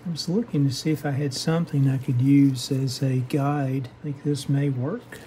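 Male how-to host, soft dark tone, methodical and hesitant, unscripted bench demo, some background noise. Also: medium pitch, fairly even intonation, moderate pace. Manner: hesitant, methodical step-by-step explaining. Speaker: host of a radio repair how-to channel. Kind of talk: unscripted hands-on workshop demonstration. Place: indoor home workshop bench.

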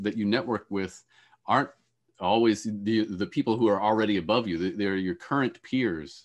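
A middle-aged man making conversational, explanatory panel remarks as a moderator, halting and repeating words as he goes. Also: lowish voice. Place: indoors on a video call.

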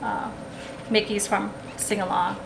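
A woman's voice: medium pitch, flat delivery, measured pace, creaky. A woman chatting casually through a haul at a table, rambling with lots of ums and restarts, recorded on the camera. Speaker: haul vlogger. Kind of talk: casual show-and-tell haul. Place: indoors at a table, camera over the table. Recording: echoey room with background noise.

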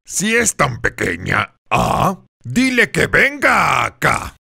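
A man playing a blustery, excitable Tsar in a cartoon folk tale, speaking theatrically with big exclamations. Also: on the high side, slow, gravelly, slight background noise, heavily acted. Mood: commanding, scheming. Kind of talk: cartoon dub acting.

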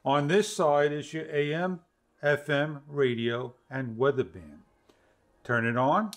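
Male product reviewer, fifties, slightly high voice, explaining features step by step in halting phrases. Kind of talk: product demonstration to camera. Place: small home studio room, indoors.

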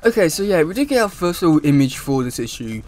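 A young man chatting casually to camera as a YouTube host, with a halting, wordy delivery. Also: fairly high pitch, big pitch swings, darkish tone, faint music behind. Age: young adult. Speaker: YouTube host reviewing comic news. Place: small room indoors at home.

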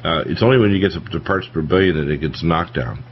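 Male panel host, deep voice, conversational but technical, dull lo-fi broadcast sound. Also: quick pace. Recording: dull lo-fi radio audio, faint noise.

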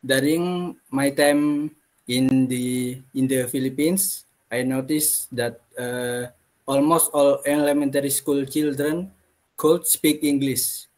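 A young Indonesian man speaks slowly in a mid-pitched voice, putting a polite question to his professor. He hesitates often, and his laptop mic picks him up plainly over the call. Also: fairly even intonation, darkish tone, poor audio. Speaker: student. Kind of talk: asking a question in an online class. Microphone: laptop webcam on a video call.

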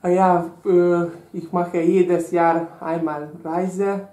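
A young man with a Hungarian accent speaks slow, simple German with small errors, as a candidate in a spoken exam. His speech is unhurried. The sound is roomy, recorded across a small room. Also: high voice, little pitch movement, mellow. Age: young adult. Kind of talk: oral language exam answers. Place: small indoor room at a table.

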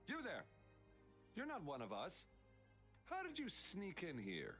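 An actor playing a wounded, loyal and anxious guard in a video game, speaking in an earnest, strained way. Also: male voice, high-pitched, huge pitch swings, a little slow, fairly bright tone, soft background music, heavily acted. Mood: alarmed. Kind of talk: English video game voice acting.

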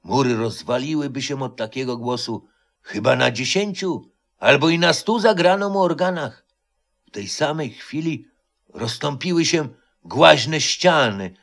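A man voicing a grey-haired old highlander who solemnly tells a folk legend in an audio storybook. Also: expressive, unhurried, creaky, fairly bright tone, heavily acted. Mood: awed, stirring.

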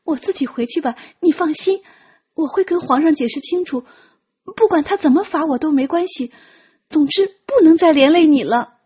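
A woman voicing an anxious, kind-hearted young woman disguised as a eunuch, soft and apologetic in an audiobook drama. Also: sits high, moderate pace, dull audio, voice pushed up, lightly acted. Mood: flustered, insistent. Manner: flustered, hesitant, pleading.

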